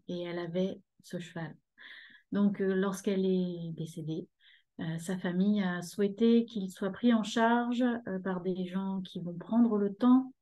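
A woman's voice: mid-range voice, warm tone. A woman in her fifties talking at length on a video call from her living room, hesitating often. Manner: talkative, hesitant with many fillers. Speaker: guest, horse rescue association member. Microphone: laptop webcam on video call.

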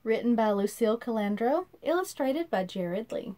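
A woman in her thirties reading a rhyming storybook aloud to children in an expressive, sing-song way, recorded in a room at about arm's length from the device. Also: a little high, normal speed. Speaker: children's read-aloud host. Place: small indoor room.

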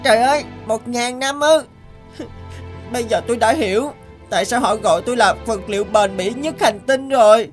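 A woman's voice: a little high, normal speed, music in the background, natural voice. A woman voicing a naive, proud and anxious plastic bag in a children's cartoon, exclamatory and plaintive. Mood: indignant, dismayed. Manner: exclamatory, plaintive, wide-eyed. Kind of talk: animated children's cartoon acting.

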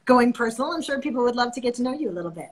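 Higher-voiced woman hosting over a video call. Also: expressive, very fast, breathy edge. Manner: warm, encouraging questioner. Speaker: interview host. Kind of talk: casual interview chat. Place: home office with bookshelves, indoors. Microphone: laptop webcam on a video call.

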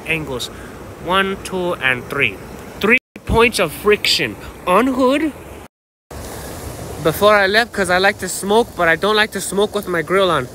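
An American man with a notably high voice rambles casually in slang and swearing, full of swagger. He is talking into a phone outdoors, with light ambient noise behind him. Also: bright, forceful tone, rough recording. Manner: slangy, profane, boastful, with repeated catchphrases. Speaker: vlogger. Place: outdoors on a dirt path by a canal. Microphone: phone held at arm's length.

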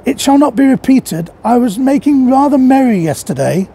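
A man's voice: extremely high pitch, animated, moderate pace, dark tone, a little hiss or hum, voice pushed up. Timid clerk, as a male reader voices him. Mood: apologetic. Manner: pleading.

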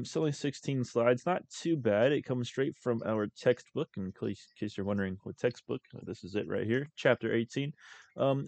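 A young man hosting a casual screen-recorded software tutorial as a voice-over. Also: mid-range voice, vocal fry, no echo. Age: young adult. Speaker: tutorial host.

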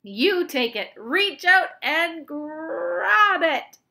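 A woman reading a children's storybook, voicing an excitable, eccentric male chocolate inventor who commands and exclaims like a showman. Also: on the high side, normal speed, pitched above the performer's own voice. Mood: thrilled, urgent.

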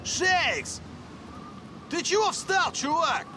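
A man voicing an earnest young footballer in a dubbed cartoon, animated and slangy. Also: extremely high pitch, very slow, music in the background, heavily acted.

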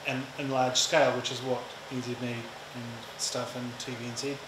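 A man moderates a public panel and presses the speakers with probing questions. His voice is at a middle pitch and echoes around a roomy lecture space. Air conditioning hums in the background. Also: level tone, normal speed, noisy background. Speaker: facilitator. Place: university lecture room.

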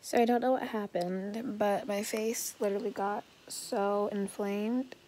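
A teenage girl chatting casually to viewers in a vlog, her voice a bit raspy, filmed on a phone held close in a small bedroom. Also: medium pitch, fairly even intonation, a little slow, mellow, a little hiss or hum, rough recording. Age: late teens. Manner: chatty, rambling, addresses viewers as guys. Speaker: vlogger. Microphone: phone held close at arm's length.

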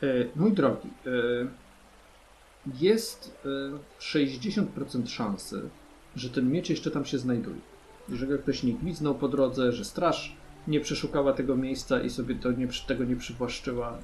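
A man narrating a tabletop role-playing game as game master, haltingly, often checking in with his players. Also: mid-range voice, a little mellow, a little hiss or hum. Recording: music under the voice. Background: background music.

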